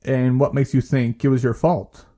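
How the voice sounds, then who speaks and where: Mellow, gently probing male therapist played by an actor. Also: medium pitch, level tone, natural voice. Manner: measured, gentle questioning. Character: calm, probing male therapist, measured and patient. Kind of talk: naturalistic audio drama dialogue.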